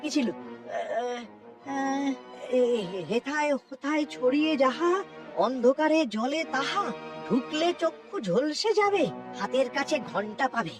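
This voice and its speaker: Man as a scheming, excitable cartoon minister. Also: extremely high pitch, a little slow, music in the background, full character voice. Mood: greedy, excited. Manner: muttering to himself, excitable. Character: scheming, greedy minister sneaking after treasure alone. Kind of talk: Bengali cartoon voice acting.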